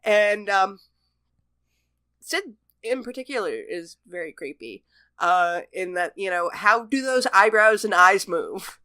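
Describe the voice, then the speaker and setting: Woman chatting on a podcast, thinking out loud, crisp bright tone, very dry sound. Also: mid-range voice, lively intonation, slow, no echo. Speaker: podcast co-host. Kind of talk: casual chat, TV episode discussion.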